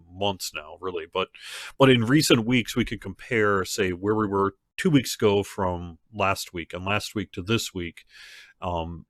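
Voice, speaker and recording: A man's voice: on the low side, fairly bright tone, no room sound, studio quality. A man hosting a game livestream as community manager, talking casually through news updates with fillers and restarts, a little stuffy from a cold. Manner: conversational, with frequent fillers and restarts.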